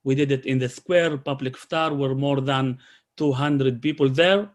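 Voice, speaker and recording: A man from Israel who speaks with a halting manner, with many restarts and fillers, presenting his community work in a video call. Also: mid-range voice. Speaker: community program coordinator.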